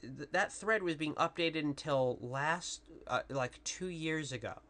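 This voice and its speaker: A woman with a really deep voice, casually commentating a live game stream and chatting with viewers in a rambling, profane way. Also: unhurried, a bit bright. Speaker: VTuber streamer.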